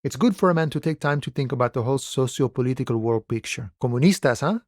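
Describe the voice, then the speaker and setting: An actor playing an easygoing, philosophical dock worker with cowboy airs in a video game, musing in an unhurried, folksy way. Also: male voice, mid-range voice, lively intonation, no echo, studio quality, natural voice. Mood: musing, relaxed. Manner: unhurried, folksy musing, calls people friend and boya. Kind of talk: video game character dialogue.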